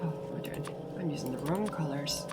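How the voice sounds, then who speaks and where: A woman's voice: low voice, fairly quick, over loud music. A British woman with a shifting mix of English, Scottish and American accent, chatting casually and rambling with many restarts while streaming a game. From Britain.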